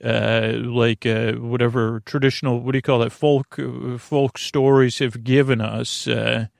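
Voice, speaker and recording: A man delivers a rambling sleep-podcast monologue in a mid-range voice full of creak. His manner is lulling and hesitant, looping through tangents and half-finished phrases. The recording is very dry, with no room sound. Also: mid-range voice, level tone, no echo. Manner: lulling, soothing, meandering and halting.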